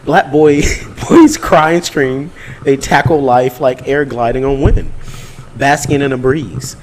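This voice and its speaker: A man's voice: medium pitch, takes it slowly, a little hiss or hum, low-quality recording. A man in a casual podcast chat who reads aloud mockingly, giggling, swearing and stumbling over words. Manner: giggly, stumbles while reading, profane. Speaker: podcast co-host.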